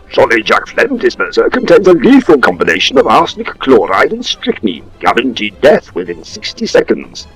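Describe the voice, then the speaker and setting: A man's voice: medium pitch, husky, exaggerated voice, rough recording. An actor playing a jolly, old-fashioned salesman in a parody radio advert.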